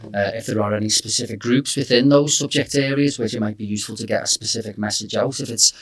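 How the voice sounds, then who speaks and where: Middle-aged English man, low voice. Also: fairly even intonation, fast talker, slightly rough. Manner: thoughtful, hedging with "you know" and "I suppose". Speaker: careers consultant. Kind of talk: relaxed conversational interview.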